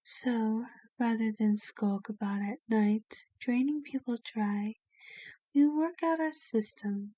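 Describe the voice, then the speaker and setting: A woman playing a kind, genteel vampire in an ASMR roleplay, speaking gently and soothingly with formal wording and a soft, sometimes breathy voice. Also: on the high side, takes it slowly, dry recording, low-quality recording. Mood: calm, candid.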